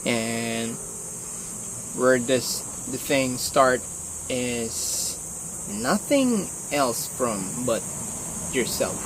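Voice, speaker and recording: Filipino man, slow and hesitant. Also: mid-range voice, expressive, background noise. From Philippines. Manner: hesitant, restarts a lot, many fillers. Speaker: vlogger. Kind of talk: casual rambling vlog. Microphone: phone.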